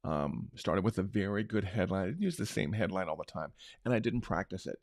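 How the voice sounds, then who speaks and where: A middle-aged man hosting a marketing show, talking unscripted in a loose, conversational way with a big booming voice. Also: animated, a little fast, no room sound. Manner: unscripted, conversational, many restarts. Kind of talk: casual talk-show recap.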